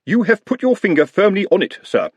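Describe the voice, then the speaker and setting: A man's voice: high-pitched, very animated, brisk, no room sound, muffled recording, pitched above the performer's own voice. Man doing a bumbling older working guy, rambly, keeps saying sir. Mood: deferential. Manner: rambling, deferential, tangled phrasing. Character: awkward older male groundsman, deferential.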